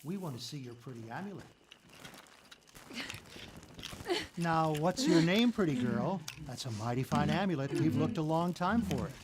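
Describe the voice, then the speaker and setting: A man's voice: on the high side, lively intonation, brisk, noisy background, close to an everyday voice. A man playing a creepy, gleeful villain's sidekick in an audio drama, taunting in mock-genteel endearments. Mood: coaxing menace. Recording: loud music behind the voice.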